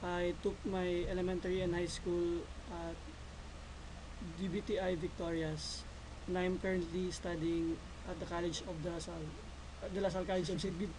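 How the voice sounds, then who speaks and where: A man's voice: high-pitched, flat delivery, moderate pace. A nineteen-year-old man from the Philippines, a student reading his part of a class presentation formally. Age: teens. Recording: noticeable background noise.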